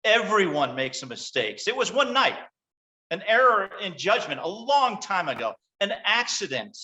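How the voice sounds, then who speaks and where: Guy playing a slippery senator, high bright voice, stammers and fumbles his words, table read. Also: very animated, exaggerated voice. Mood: desperate, pleading. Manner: stammering, halting, flustered. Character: cornered, evasive male senator running for president. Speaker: actor in a virtual table read. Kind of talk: screenplay table-read acting.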